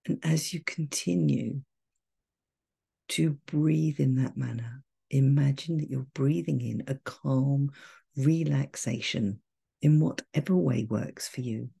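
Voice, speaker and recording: A woman guiding a meditation in a soft, breathy, soothing voice, gentle and measured with long pauses. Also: a bass voice. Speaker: meditation guide. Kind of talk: guided meditation, calm and soothing.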